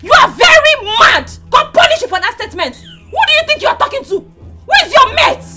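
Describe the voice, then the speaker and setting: A woman's voice: very high voice, expressive, normal speed. A woman playing a fiery, betrayed Nigerian girlfriend, confrontational and threatening in a heated film drama. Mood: outraged. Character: fiery, betrayed young woman refusing to be trashed. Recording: faint music underneath.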